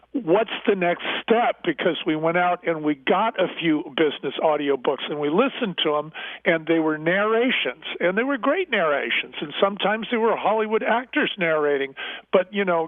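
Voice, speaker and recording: A man giving an interview over a phone-like line, telling stories conversationally with emphatic, measured phrasing. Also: a little high, no room sound. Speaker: interview guest, business founder.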